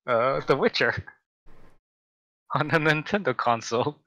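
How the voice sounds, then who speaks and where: A man's voice: mid-range voice, slow, bright, forceful tone, no echo, lo-fi sound. A man co-hosting a gaming podcast, talking casually about games. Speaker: video game podcast co-host. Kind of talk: casual chat, gaming opinions.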